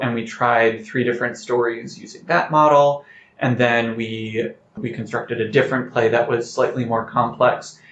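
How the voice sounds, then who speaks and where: A man with a middle-pitched voice answers as a guest in an interview. The speech is articulate and explanatory, told like anecdotes. The sound is echoey and a little dull. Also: a bit bright. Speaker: playwright guest. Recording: roomy, slightly dull sound with faint noise.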